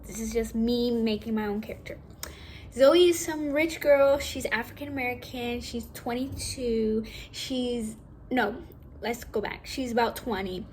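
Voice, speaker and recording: Young woman, high natural voice, rambling casual vlog chat, faint room hiss. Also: a little mellow, poor audio. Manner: chatty, rambling, self-correcting, casual. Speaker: vlogger. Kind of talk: casual acting-tips vlog. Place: at home.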